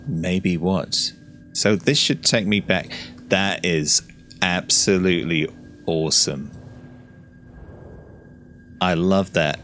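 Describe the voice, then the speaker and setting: A man's voice: sits low, slow, pauses often, fairly bright tone. A man giving casual playthrough commentary on a game, thinking aloud in short reactions. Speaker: gameplay video host. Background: game music.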